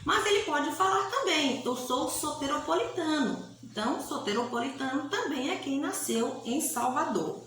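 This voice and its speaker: A woman's voice: high-pitched, animated, moderate pace, hardly any pauses, a bit bright. A woman teacher with a Bahian Brazilian accent giving a grammar lesson, explaining didactically, repeating key points and often checking with short tag questions. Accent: Bahian Brazilian Portuguese. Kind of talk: recorded grammar lesson for pupils. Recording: echoey room, faint background hiss.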